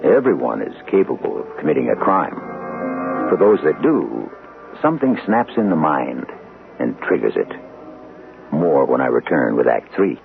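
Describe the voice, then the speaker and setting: A man hosting a radio mystery series, narrating scripted suspense in a deliberate, ominous way. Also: rumbling low, very animated, narrow, tinny audio. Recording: thin, band-limited old radio broadcast. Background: clear music under the voice.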